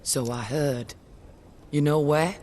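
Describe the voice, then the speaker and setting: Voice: mid-range voice, steady tone, slow pace, darkish tone, a little hiss or hum, close to an everyday voice. An actor playing a hard-living, self-reliant bounty hunter in a video game, speaking in a curt, tough manner. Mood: curt, cool. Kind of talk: video game character acting. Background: music.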